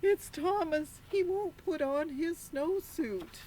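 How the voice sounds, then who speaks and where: A woman's voice: extremely high pitch, slow pace, warm tone, a little hiss or hum, pitched above the performer's own voice, rough recording. A woman voicing an exasperated, insistent female schoolteacher from a children's storybook. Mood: complaining. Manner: pleading, insistent, flustered.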